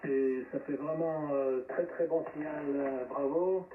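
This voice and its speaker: A man hosting an amateur radio net as controller, speaking haltingly over a radio transmission. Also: a little high, steady tone. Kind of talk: ham radio net roll call. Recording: narrowband shortwave radio, distant, echoey, hissy.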